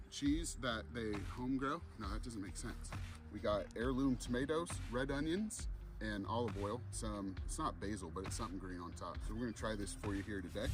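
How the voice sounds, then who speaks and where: A man giving a casual, joking, off-the-cuff food review with some swearing. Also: mid-range voice.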